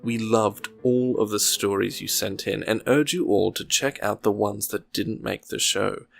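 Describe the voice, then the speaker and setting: A man with a fairly low voice and plenty of fry in it. He presents a fiction podcast and reads stories from a script. Soft music plays faintly behind him. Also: measured pace. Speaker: podcast host and story reader.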